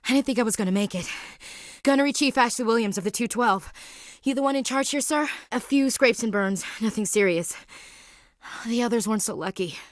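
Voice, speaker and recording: A woman's voice: a little high, fairly quick, no echo, clean studio sound, close to an everyday voice. An actress playing a tough, blunt young female marine in a video game, speaking in a direct, clipped soldierly manner. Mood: urgent. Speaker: game character.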